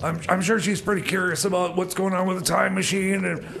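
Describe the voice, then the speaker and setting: An actor playing a stammering, insecure, sarcastic radio DJ in a comedy radio show, talking in halting, repeated words. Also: male voice, high-pitched, level tone, gravelly, noisy background. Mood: hesitant, sour. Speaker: radio music show host. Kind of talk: comedy radio theater banter.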